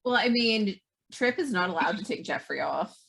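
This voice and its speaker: A woman's voice: medium pitch, animated, normal speed, creaky, fairly bright tone, breathy edge. A woman playing a tabletop game, bantering casually and improvising, recorded on a noisy, clicking microphone.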